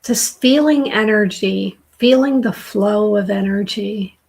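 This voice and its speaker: A middle-aged woman with a raspy, dry-throated voice, slowly and softly giving a live tarot reading as host. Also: mid-range voice. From southern New Hampshire. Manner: slow, soft, soothing intuitive reading.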